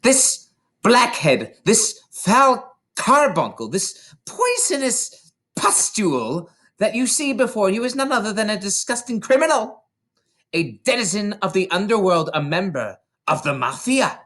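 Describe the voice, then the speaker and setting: Furious storybook headmistress, high-pitched, barking, tyrannical, wildly swooping, drawn-out contemptuous emphasis. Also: male voice, very animated, bright, forceful tone, full character voice. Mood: screaming contempt.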